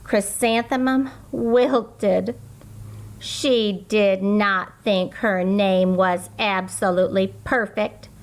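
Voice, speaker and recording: A woman reading a children's story aloud in an expressive, deliberate, sing-song way. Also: medium pitch.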